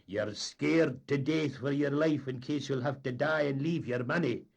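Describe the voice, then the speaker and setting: A man voicing a vain, boastful Highland boat captain with a Scottish accent. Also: a little slow, vocal fry, darkish tone, highs cut off. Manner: blustering, boastful.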